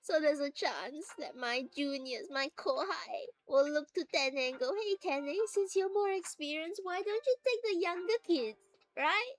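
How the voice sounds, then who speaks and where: A woman's voice: high voice, expressive, measured pace, faint music behind, poor audio. A woman streamer chatting casually, laughing often and acting out little quoted voices.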